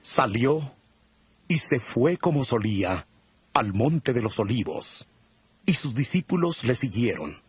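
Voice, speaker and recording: A man giving a solemn, measured narration of scripture in a dramatized audio production. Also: medium pitch, big pitch swings, husky, no room sound, faint music behind.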